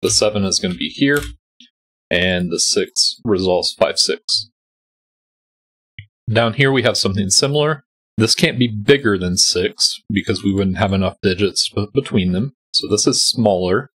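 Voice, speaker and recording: A man's voice: on the low side, measured pace, fairly bright tone, slightly rough, poor audio. Stuffy-nosed man explaining a puzzle. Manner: patient, explanatory, thinking aloud. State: stuffy, congested. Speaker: host solving a sudoku puzzle. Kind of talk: calm step-by-step puzzle walkthrough. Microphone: voice-over on a screen recording.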